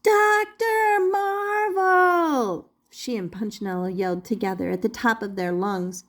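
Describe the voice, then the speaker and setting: An older woman reading a children's picture book aloud expressively, deliberately drawing out key words as a storyteller. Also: medium pitch, fairly even intonation, darkish tone.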